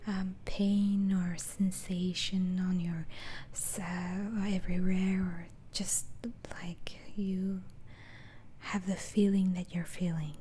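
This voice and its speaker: A woman whispering softly and haltingly in an ASMR roleplay as a gently reassuring doctor. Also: medium pitch, a little hiss or hum. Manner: soft, hesitant, gently reassuring, halting phrasing.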